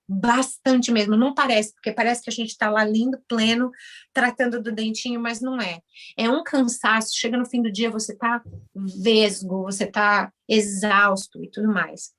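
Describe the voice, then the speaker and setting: A Brazilian woman in her forties talks through her story in a relaxed interview, her voice sitting a touch high for a woman. She tells it with animation and slips into little voices when she acts out what other people said. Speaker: guest, Brazilian orthodontist now working as a house cleaner.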